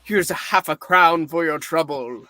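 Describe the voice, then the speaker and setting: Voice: mid-range voice, measured pace, pushed and bright. A performer playing a giddy, newly reformed old miser in a radio play reading, effusive and theatrical. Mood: giddy, kindly.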